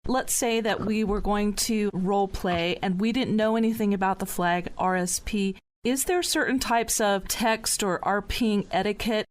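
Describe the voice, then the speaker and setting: A woman's voice: medium pitch, moderate pace. A woman hosting a panel podcast, putting questions to guests in a conversational style. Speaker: podcast host.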